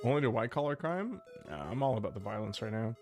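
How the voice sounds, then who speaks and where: A man's voice: medium pitch, sing-song, quick pace, music in the background. A man streaming a video game, chatting casually and crudely with his viewers and poking fun at himself. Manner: joking, crude, self-mocking. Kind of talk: casual gameplay commentary to chat.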